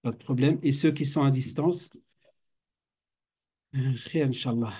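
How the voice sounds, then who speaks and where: A man's voice: mid-range voice, moderate pace, dark tone, breathy edge. Man teaching a class. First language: Arabic. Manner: hesitant, many fillers and restarts, mixes Arabic phrases. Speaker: teacher at an Islamic institute. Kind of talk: classroom lecture. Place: classroom with students present.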